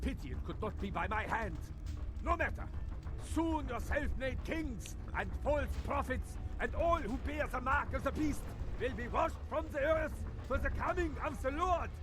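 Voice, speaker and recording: An actor playing a fanatical, righteous vampire hunter in a video game, preaching in a grandiose, menacing manner. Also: male voice, extremely high pitch, expressive, moderate pace. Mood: cold contempt. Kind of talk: theatrical video game voice acting. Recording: game audio under clear music.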